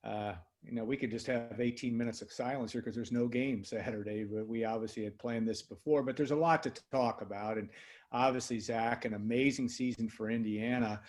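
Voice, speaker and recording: A man's voice: mid-range voice, fairly even intonation, fairly quick, a little mellow. An American man hosting a sports talk show in a conversational style, introducing topics and guests.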